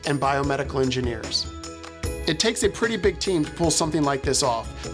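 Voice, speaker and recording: A man hosting a podcast, reading a scripted introduction and then interviewing a guest over a video call. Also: a little high. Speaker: podcast host.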